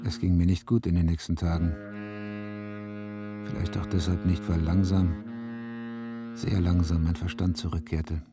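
An actor playing a middle-aged police inspector in a radio drama, terse, earnest and brooding. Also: male voice, deep voice, flat delivery, a little slow, pauses often, loud music behind the voice. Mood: melancholy, reflective. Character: brooding male police inspector, lovelorn, dutiful. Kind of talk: German radio drama acting with first-person narration.

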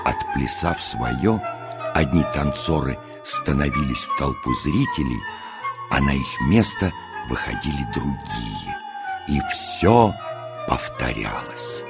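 Very deep, slow male storyteller. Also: gravelly. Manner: measured, expressive storyteller's delivery. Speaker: narrator. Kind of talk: scripted children's storytelling. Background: music.

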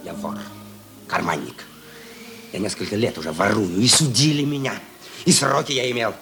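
A man acting a desperate, defiant runaway thief of twenty-nine in a radio play, agitated, bitter and gravelly. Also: lowish voice, normal speed, a bit bright.

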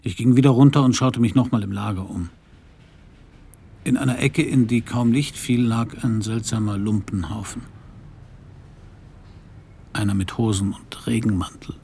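An actor playing a cynical private detective in a crime radio play, laconic and sardonic with a gravelly voice. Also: male voice, on the low side, steady tone, moderate pace, pauses often, mellow. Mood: sardonic, brooding. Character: cynical, hard-boiled male private detective narrating his case. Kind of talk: first-person crime radio play narration and dialogue.

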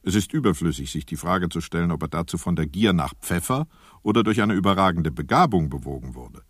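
A man's voice: sits low, lively intonation, brisk, breathy edge, no room sound, clean studio sound. A man narrating a comic radio play as a grand, ironic storyteller. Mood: wry, grand. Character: mock-heroic male storyteller, grand and ironic.

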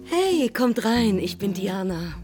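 An actress playing a chatty, self-assured, well-off hostess in a naturalistic radio drama. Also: female voice, mid-range voice, sing-song, takes it slowly, music in the background. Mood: welcoming, pleased. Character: sociable, well-off neighbourhood hostess organising against a local shop.

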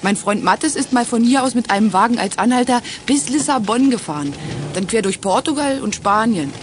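An actress plays a friendly young woman at the wheel in an eighties children's radio drama. Her talk is casual and easygoing, with swooping intonation. Clear vehicle noise runs through the recording. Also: a little high, animated, fairly quick, natural voice. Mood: chatty, offhand. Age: young adult. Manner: casual and chatty. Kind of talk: 1980s children's radio play acting. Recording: noisy audio with vehicle sounds.

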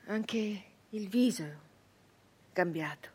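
An actress playing a haunted, defiant young peasant woman turned brigand in a radio monologue, with halting, repetitive, intense delivery. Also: medium pitch, a little mellow, slightly rough. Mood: wounded, subdued.

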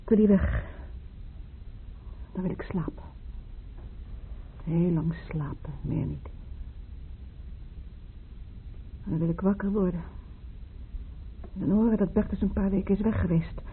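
An actress in a radio drama playing a grieving, weary psychiatric patient who speaks haltingly, fixated on her dreams. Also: mid-range voice, natural voice. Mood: weary, longing. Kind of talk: Dutch radio drama acting. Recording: tinny, band-limited, hissy radio play recording.

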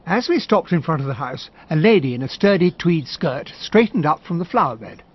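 An actor playing a reflective English gentleman narrating his memories in a radio drama, measured and literary, with an upper-class accent. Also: a little high, very animated, slight background noise. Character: Hastings as reflective older narrator, English gentleman recalling events. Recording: dull, muffled radio drama recording.

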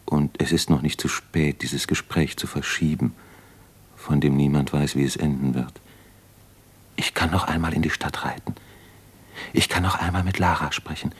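Brooding doctor in love, very low voice, measured, reflective, earnest, 1950s radio drama. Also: male voice, steady tone. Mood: troubled, hesitant.

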